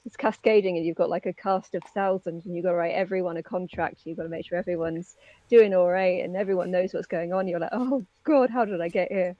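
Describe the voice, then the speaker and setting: A woman's voice: lowish voice, fairly even intonation, fast talker. A woman chatting casually as a co-host in an interview. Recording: slightly dull, lo-fi audio.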